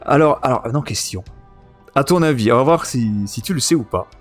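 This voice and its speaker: A man hosts a casual retro gaming podcast. He talks in an informal, enthusiastic way at an average male pitch, with music playing faintly behind him. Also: lively intonation, brisk. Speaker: host of a retro gaming radio show. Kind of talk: casual podcast chat about retro video games.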